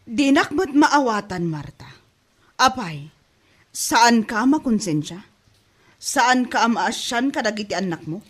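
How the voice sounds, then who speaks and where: A woman's voice: fairly high pitch, lively intonation, unhurried, pauses often, slightly rough. A stern older mother in a radio-style drama played by a woman, full of reproach. She has an Ilocano accent and a disapproving, moralizing manner. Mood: scolding. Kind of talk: Ilocano audio drama acting.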